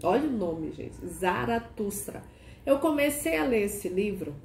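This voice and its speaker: A woman hosting a casual video chat. She sounds nasal and hoarse from a cold and keeps asking the viewer questions. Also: mid-range voice, very animated, measured pace, a little mellow. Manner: chatty, asks the viewer many questions. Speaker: archetype consultant and channel host. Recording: echoey room with faint noise.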